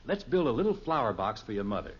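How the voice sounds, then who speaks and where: A self-assured American sitcom father with a slightly husky, lively voice. He lectures cheerfully and folksily, pleased with his own plans. It sounds like a muffled 1950s radio broadcast. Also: medium pitch, quick pace. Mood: busily pleased. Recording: muffled old radio recording.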